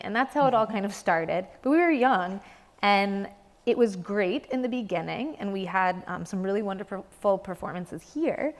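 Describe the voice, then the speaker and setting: A young adult woman, a dance company director, speaking earnestly in an interview and searching for her words. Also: mid-range voice. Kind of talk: reflective interview talk. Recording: reverberant hall.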